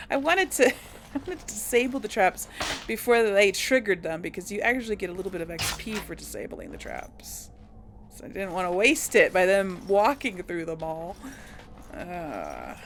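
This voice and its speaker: A woman's voice: mid-range voice, sing-song, unhurried, noisy background. A woman hosting a casual let's play, chatting to viewers as she plays and reading game text aloud. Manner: chatty, talks to viewers while playing. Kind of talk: casual gameplay commentary.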